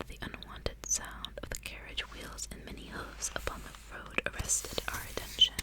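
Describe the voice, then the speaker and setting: Woman whispering softly in ASMR style. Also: rough recording. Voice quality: breathy unvoiced whisper. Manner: soft, hushed, intimate delivery. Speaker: ASMR creator reading a novel aloud. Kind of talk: whispered ASMR audiobook reading with casual asides.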